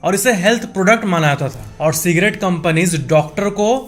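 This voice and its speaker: A man presenting facts in an informal explanatory voice-over, speaking conversationally and directly to viewers, repeating points to make them clear. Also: high voice, normal speed, fairly bright tone. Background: background music.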